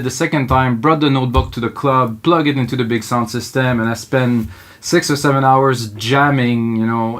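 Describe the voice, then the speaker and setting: A man in his thirties with a French Canadian accent, French his first language, teaching music production live in a loose, thinking-aloud way. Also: medium pitch. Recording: electronic music playing under the voice. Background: faint electronic music.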